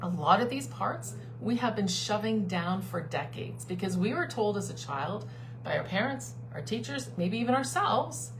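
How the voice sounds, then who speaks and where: Woman, life coach, mid-pitched, earnest and persuasive, live stream with a steady hum behind her. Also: moderate pace, rough recording. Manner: earnest, persuasive, repeats key phrases. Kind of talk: live-streamed talk to a online group.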